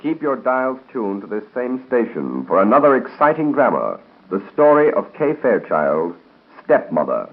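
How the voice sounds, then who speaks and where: A man's voice: mid-range voice, big pitch swings, normal speed. A man reading station announcements from a script in 1930s radio style. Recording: tinny, band-limited 1930s radio broadcast.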